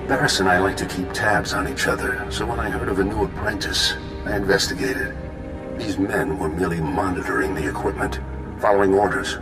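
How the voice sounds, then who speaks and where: An actor playing a stern, self-righteous Jedi Master in a video game, speaking in a measured, formal and solemn way. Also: male voice, low-pitched, lightly acted. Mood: measured, calm. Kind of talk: video game cutscene acting. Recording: echoey voice over game music.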